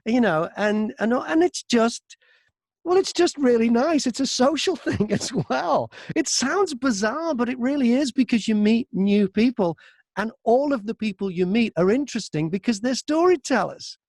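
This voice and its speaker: A man chatting casually in an interview as a narrator, telling stories in an animated, talkative way from inside a wardrobe booth. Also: extremely high pitch, normal speed, no room sound, studio quality. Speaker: audiobook narrator and voice-over artist, interview guest.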